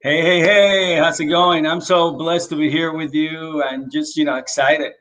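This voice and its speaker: A man's voice: a little high, steady tone, a little slow, a bit bright, poor audio. A man from the Dominican Republic, a real estate agent and interview guest, telling his story animatedly and with many fillers over a video call.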